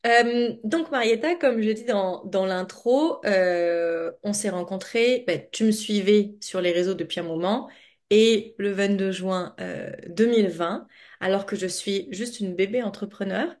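Woman podcast host, chatty and informal, expressive, normal pitch for a woman. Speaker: podcast host and money coach. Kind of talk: casual, chatty podcast interview.

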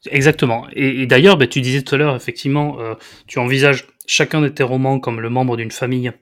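A man's voice: mid-range voice, fairly even intonation, fast, fairly bright tone. A man hosting a literary interview, informal and friendly in tone, building long questions with many hesitations.

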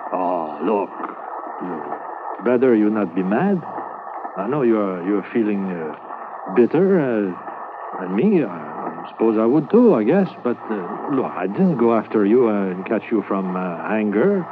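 An actor playing a kindly, hopeful constable who speaks halting English mixed with words, in a 1950s radio drama. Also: male voice, on the low side, big pitch swings. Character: French-Canadian Mountie constable, kindly, hopeful. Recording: tinny old radio transcription, hiss.

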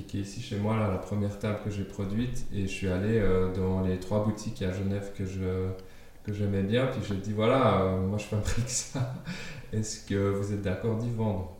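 A man's voice: on the low side, dark tone, very echoey, recorded from a distance. A man raised in Switzerland talking casually about his path into furniture design, hesitating often with fillers and restarts, recorded in his apartment. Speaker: furniture designer interviewed at home. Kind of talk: casual interview.

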